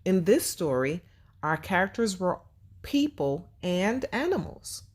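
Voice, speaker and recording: A woman reads a storybook for young children in a slightly low, very lively voice. She takes her time and speaks to the children directly, like a teacher guiding a simple lesson. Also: measured pace. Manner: expressive, addressing children directly with questions and praise. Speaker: children's read-aloud host and teacher.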